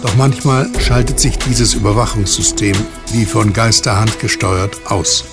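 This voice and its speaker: Guy narrating an audio drama, lowish voice, measured storytelling, music loud underneath.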